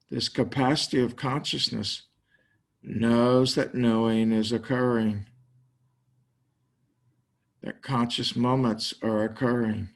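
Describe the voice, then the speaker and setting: An older man leading a guided meditation, speaking in slow, spacious phrases with long pauses. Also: mid-range voice, creaky. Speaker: meditation teacher.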